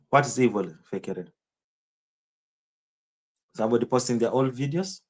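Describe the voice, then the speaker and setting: A man's voice: medium pitch, unhurried. A man with a Kenyan accent streaming live, rambling in casual commentary and answering viewers' chat comments by name.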